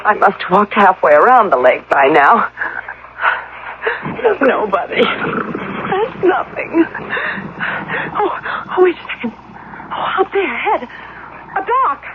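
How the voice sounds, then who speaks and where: An actress playing an anxious, polite young stranded traveler in a radio drama, stammering and breathless in a theatrical way. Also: female voice, on the high side, sing-song, slow pace, pauses often. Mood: weary, hopeful. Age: young adult. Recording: muffled, hissy old radio recording.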